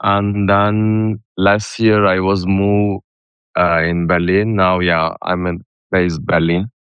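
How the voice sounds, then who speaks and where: Low-pitched, slow-talking Turkish man. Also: flat delivery, smooth, dry recording, narrow, tinny audio. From Turkey. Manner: halting, non-native English phrasing. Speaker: guest DJ and music producer. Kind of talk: casual radio interview.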